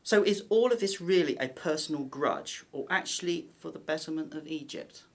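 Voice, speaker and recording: A man's voice: on the high side, normal speed. A man playing an anxious, wary conspirator in an ancient Egyptian palace, in radio drama style. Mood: wounded, wary.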